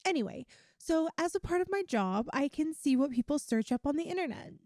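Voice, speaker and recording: A woman plays a young yandere girlfriend in an intimate ASMR roleplay, sweet, clingy and obsessive. Her voice is high and mellow, recorded very dry. She chats coyly to the listener, halting and stretching words for emphasis. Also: expressive, no echo. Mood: sheepishly confiding. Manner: coy, chatty, halting with drawn-out emphasis. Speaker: audio roleplay performer.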